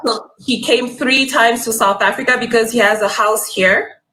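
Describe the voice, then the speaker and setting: Young woman, from Congo, caller, earnest, unhurried, fairly high voice. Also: fairly even intonation, sharp tone, rough recording. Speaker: caller on a live dating show. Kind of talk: casual call-in chat.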